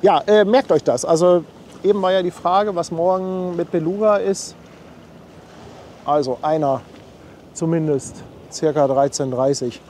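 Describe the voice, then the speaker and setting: A man's voice: on the high side, unhurried, a little mellow, slight background noise. A man hosting a casual live stream from outdoors at a harbor, chatting and thanking viewers as he reads out their messages. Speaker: livestream host.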